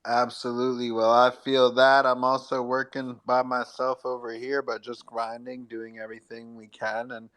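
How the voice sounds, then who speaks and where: A man's voice: mid-range voice, monotone, normal speed, few pauses, a bit bright, smooth, rough recording. A man hosting a live online audio show in an upbeat, promotional style full of hype and encouragement. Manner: enthusiastic, hype-filled encouragement. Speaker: host of an NFT marketing audio show.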